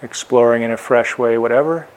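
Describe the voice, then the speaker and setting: A man's voice: mid-range voice, fairly even intonation. Man guiding meditation over background noise. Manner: unhurried short phrases with long pauses. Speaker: meditation teacher. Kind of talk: guided meditation instruction. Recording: clear background noise, room ambience.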